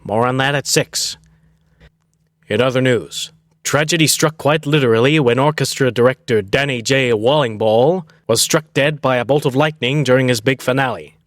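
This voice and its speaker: A man playing a mock-serious evening news anchor in a comic storytelling show, formal and deadpan in delivery. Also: mid-range voice, moderate pace, clean studio sound, natural voice. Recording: echoey hall, noisy background.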